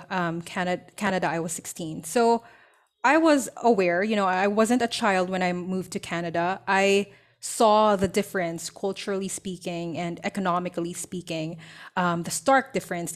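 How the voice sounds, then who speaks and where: A woman's voice: mid-range voice, normal speed. A young adult woman from the Philippines, a business coach talking as a podcast guest, telling her story in a reflective way with many fillers and restarts. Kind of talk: casual interview storytelling.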